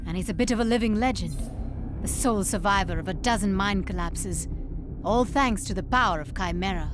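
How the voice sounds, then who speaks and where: An actress playing a tough, sardonic female intelligence officer in a video game, brisk and no-nonsense, over loud music. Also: medium pitch, close to an everyday voice. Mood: sardonic briefing. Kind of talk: video game mission briefing.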